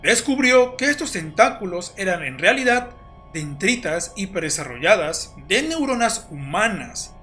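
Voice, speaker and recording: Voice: medium pitch, sing-song, a little slow, pushed and bright, faint music behind. A narrator, presenting a scripted video essay in an explanatory storytelling way. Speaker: host and narrator of a horror analysis channel.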